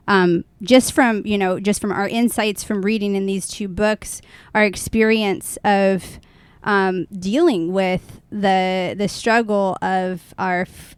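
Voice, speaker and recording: Clear-voiced woman in reflective podcast chat. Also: mid-range voice, darkish tone, no echo. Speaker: podcast co-host. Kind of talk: reflective conversational podcast talk.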